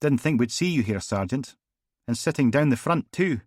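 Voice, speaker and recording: A man's voice: medium pitch, expressive, moderate pace, dry recording, clean studio sound, voice pushed up, lightly acted. A man voicing a pompous, mocking male police inspector who needles a junior officer. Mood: sneering. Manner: mocking, sarcastic.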